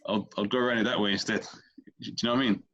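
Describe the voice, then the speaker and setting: A man's voice: lowish voice, fairly even intonation, very fast, a bit bright, dull audio. A man, a comedian guest on a podcast, chatting casually and rambling, repeating words as he explains. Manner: rambling, repeats words, talks in loose stories.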